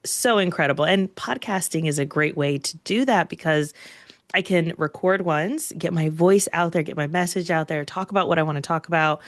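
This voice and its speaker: A woman's voice: low-pitched, moderate pace, no room sound, studio quality. A woman in her thirties from upstate New York, chatting as a podcast guest over a video call, upbeat and laughing often. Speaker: podcast guest, agency owner. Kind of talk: casual interview chat.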